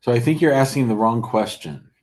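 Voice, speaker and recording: A man's voice: mid-range voice, fairly quick, darkish tone. A man hosting a call-in show, giving informal legal advice in a blunt, probing, conversational way. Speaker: host taking callers' immigration questions.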